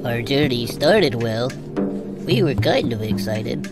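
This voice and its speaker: An actor playing a gentle, timid alien former slave who tells his story earnestly and hesitantly in a video game cutscene. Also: mid-range voice, animated, slow. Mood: earnest narrating. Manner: hesitant, plain-spoken, earnest. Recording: game audio with loud music behind.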